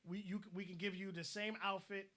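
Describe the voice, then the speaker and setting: A man's voice: high-pitched, little pitch movement, a little fast, pushed and bright. A man co-hosting a casual online discussion, giving blunt advice and often repeating words as he starts a thought.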